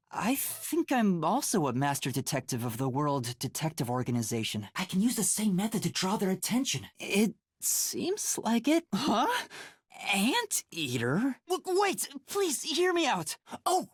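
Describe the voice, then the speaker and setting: Timid, husky-edged amnesiac boy detective. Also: mid-range voice. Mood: nervous, pleading. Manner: hesitant, stammering, earnest. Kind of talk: video game voice acting.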